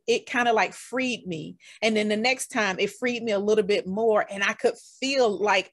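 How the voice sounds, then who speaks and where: A woman's voice: medium pitch, no room sound, clean studio sound. A woman hosting a podcast interview, talking enthusiastically and casually and addressing her listeners as "y'all". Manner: warm, enthusiastic, talks straight to listeners with "y'all". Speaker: podcast host.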